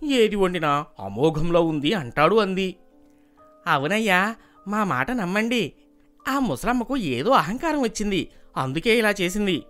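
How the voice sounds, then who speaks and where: Man acting out jealous cartoon servant, sly, conspiratorial, swooping intonation, soft music behind. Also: high-pitched, very animated, a little slow, pauses often, pitched above the performer's own voice, heavily acted. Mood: tattling. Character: jealous scheming male servant, sly and spiteful. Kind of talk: Telugu animated moral story dialogue.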